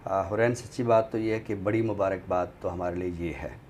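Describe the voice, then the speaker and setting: A man with a fairly low voice and a Pakistani accent gives measured commentary as an expert guest on a talk show. He explains things in a conversational way, with clear noise behind him. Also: a little mellow.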